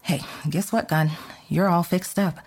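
A woman's voice: fairly even intonation, a little slow, darkish tone. A woman recording voice lines who stumbles and retakes them. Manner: husky, low, reads lines then breaks off. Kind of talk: blooper outtakes.

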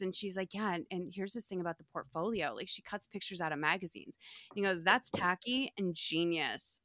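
A woman hosting a podcast, giving a wry, slangy and animated recap in casual conversation. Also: medium pitch, no room sound. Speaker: podcast host recapping a film. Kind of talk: casual comedic recap chat.

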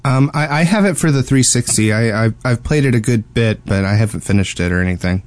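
A man's voice: medium pitch, fairly quick. A man co-hosting a podcast in casual, joking chat. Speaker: podcast co-host. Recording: prominent music behind the voice. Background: instrumental music.

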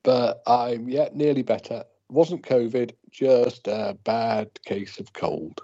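A man's voice: mid-range voice, slow pace, warm tone. A British man co-hosting a podcast in casual chat, with a wry, understated manner. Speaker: podcast co-host. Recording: dull lo-fi call audio.

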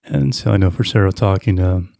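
A man with a deep, soft-edged voice hosts a conversational podcast interview. His tone is dark and mellow. The sound is very dry, with little room around him. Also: a little fast, vocal fry, no echo.

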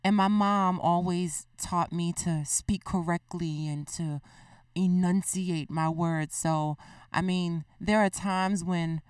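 A man from Baltimore County with a Baltimore accent, co-hosting a casual podcast chat in a measured, thoughtful way. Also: sits high, steady tone, clean tone, no echo. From Baltimore County, USA. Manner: measured, thoughtful, with frequent restarts. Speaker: podcast co-host. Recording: distant mic in a dry room.

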